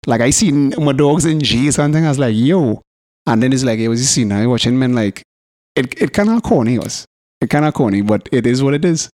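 Man, Trinidadian accent, middling pitch, animated slangy banter, swearing, very dry recording. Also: fairly quick, no echo. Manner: animated, coarse, swearing storyteller. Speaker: podcast co-host.

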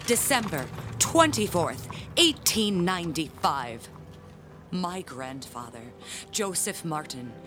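A woman playing an earnest, nervous churchgoer in an audio drama, halting at times and speaking with formal, theatrical solemnity. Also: on the low side.

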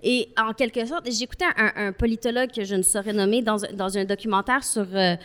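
A woman's voice: medium pitch, steady tone, fairly bright tone. A woman, a columnist speaking reflectively on a live panel and weighing her words with care. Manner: reflective, measured, speaks in nuances. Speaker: columnist guest. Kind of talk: panel discussion before a live audience.

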